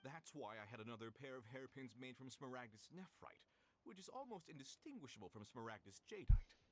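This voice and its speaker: An actor playing a cornered, pleading merchant who stammers, in a video game. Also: male voice, medium pitch, animated, fairly quick, darkish tone, a little hiss or hum, natural voice. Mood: anxious, pleading. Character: flustered, cornered male merchant confessing a swindle.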